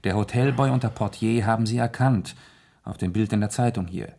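An actor in a 1970s German radio play, playing a calm, shrewd lord who questions a suspect in a measured, deliberate way. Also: male voice, lowish voice, creaky. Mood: calm, inquisitive.

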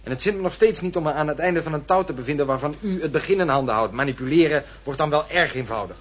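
A man's voice: a little high, fast talker, close to an everyday voice. An actor playing a formal, pedantic and suspicious man in an absurdist radio play. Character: pedantic, suspicious man tied by a neck rope. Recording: muffled old radio drama recording.

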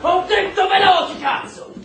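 A man's voice: very high-pitched, unhurried, some chatter behind, heavily acted. A man acting a brutal, bullying military prison guard in a radio drama, barking profane orders. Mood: aggressive, urging. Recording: roomy, noisy, distant shouted recording.

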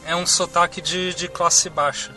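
A Brazilian man on a casual film podcast explains things plainly and matter-of-factly. His voice sits slightly high, and music plays clearly in the background. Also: little pitch movement, a little slow. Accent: Brazilian Portuguese. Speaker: podcast panelist. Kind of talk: casual film-review chat.

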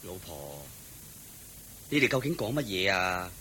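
A man's voice: sits low, big pitch swings, measured pace, sharp tone, slight background noise, heavily acted. A man playing a poor, meek, sickly husband in a Cantonese radio drama, polite, bewildered and pleading. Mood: puzzled.

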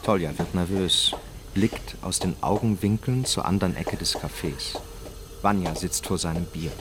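An actor as the sober, measured narrator of a radio crime drama, telling it in standard German. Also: male voice, low-pitched, background noise, lightly acted. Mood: sober, suspenseful. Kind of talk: scripted present-tense narration in a radio drama.

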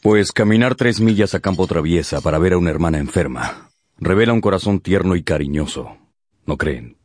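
An actor playing a reserved, proud yet tender gentleman with formal, measured courtesy in a radio drama. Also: sits low, lively intonation. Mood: tender, pointed.

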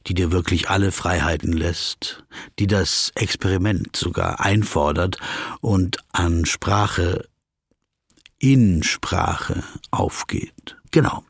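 Brooding middle-aged businessman, deep creaky voice, introspective, pedantic, radio drama monologue. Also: dry recording, studio quality, full character voice. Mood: musing. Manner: musing, pedantic, talking to himself. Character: disgruntled, brooding, word-obsessed middle-aged male business traveller.

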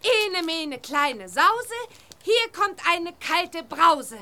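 A woman playing a cheerful, cheeky young schoolgirl witch in a children's audio drama, lively and singsong when she chants. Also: very high voice, very slow, heavy background noise, heavily acted. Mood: cheerful, playful.

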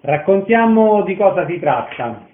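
A man's voice: sits high, sing-song, a little slow. A male radio host giving prepared introductions and short, formal interview questions in an orderly manner. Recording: roomy, tinny phone-like radio sound.

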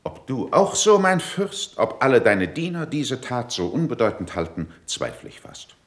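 A man's voice: medium pitch, big pitch swings, moderate pace. An actor playing a stern, eloquent courtier accusing a rival before his prince, in formal, declamatory verse for a classical radio play. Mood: pointed doubt. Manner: declamatory, formal, weighty pronouncements.

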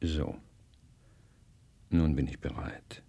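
An actor playing a fussily polite, mercenary and evasive palm reader in a radio play. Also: male voice, rumbling low, slow, creaky, mellow, slightly rough, heavily acted. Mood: fussily businesslike. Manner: fussy, obsequious, evasive. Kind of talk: German radio play acting.

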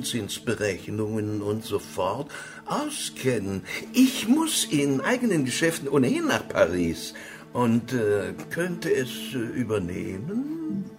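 An actor playing an effusive, bluff old soldier turned businessman in a German radio play. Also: medium pitch. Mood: tactful, self-promoting. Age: older.

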